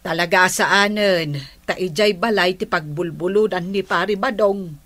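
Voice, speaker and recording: An actress with an Ilocano Filipino accent playing an indignant, gossiping neighbour who scolds and exclaims in a radio comedy drama. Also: female voice, on the low side, unhurried, a bit bright.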